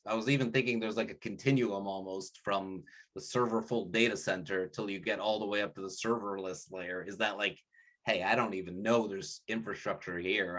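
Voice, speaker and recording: A man with a lowish voice talks casually in an online work meeting. He rambles, breaks off mid-thought and restarts, laughing now and then. He speaks through a laptop mic on a video call. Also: bright, forceful tone. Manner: rambling, self-interrupting, laughs often. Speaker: meeting participant.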